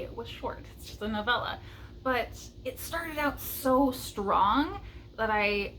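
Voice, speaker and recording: Woman, medium pitch, casual book reviewer, enthusiastic chatty delivery, slight noise behind the voice. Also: fairly bright tone, poor audio. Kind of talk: casual talk to camera, book recommendations.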